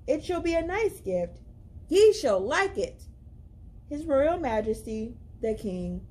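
A woman's voice: sits high, big pitch swings, slow pace, warm tone, heavily acted. Woman reading as a fed-up, commanding storybook king.

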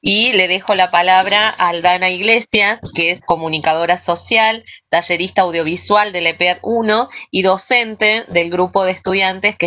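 A woman's voice: on the low side, bright, forceful tone. A middle-aged woman presenting a workshop online over a video call. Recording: dull video-call audio.

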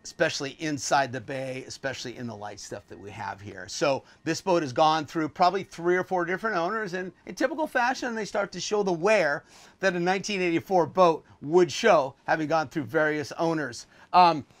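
A man's voice: fairly high pitch, moderate pace, a bit bright. A man in his sixties giving a casual, offhand, opinionated tour as a video host, recorded inside a small boat cabin.

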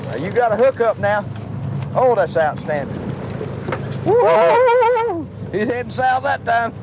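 A middle-aged man with a high voice hosts a fishing show out on open water. He talks in a folksy, excitable way, whooping at each fish. Boat engine and wind noise sit clearly behind him. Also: slow. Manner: folksy, exclaims and cheers loudly. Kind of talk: enthusiastic on-location commentary.

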